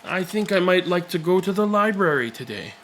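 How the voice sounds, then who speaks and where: A man's voice: high voice, big pitch swings, a little fast, a bit bright, slight background noise. A man voicing a tender, easily moved elderly grandfather in a children's storybook, heartfelt and exclamatory. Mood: moved, gentle.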